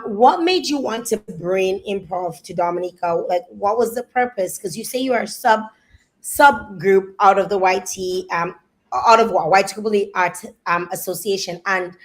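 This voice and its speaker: A woman hosting a casual live interview, chatting playfully and circling back over her phrases as she builds up a question. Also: medium pitch. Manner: rambling, repeats phrases, playful. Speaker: live show host and interviewer.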